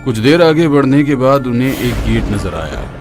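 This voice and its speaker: A man tells a horror story in a dramatic way. His pitch is medium for a man. Faint music plays behind him. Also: lively intonation, moderate pace. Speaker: narrator. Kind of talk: scripted horror narration.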